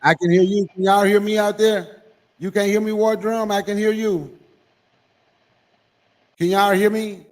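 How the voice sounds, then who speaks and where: A man's voice: high-pitched, little pitch movement, measured pace, long pauses, darkish tone. A man hosting a casual livestream, talking loosely into an old phone and checking whether his guests can hear him. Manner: repeatedly checking audio with guests, loose and conversational. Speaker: livestream host.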